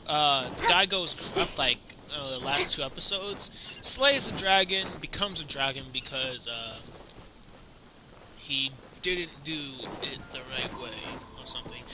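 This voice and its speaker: A man's voice: fairly high pitch, animated, a little slow, noisy background. A young man giving casual gameplay commentary, talking in a slangy, rambling, offhand way. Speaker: gaming streamer. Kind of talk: casual let's play commentary. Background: game music.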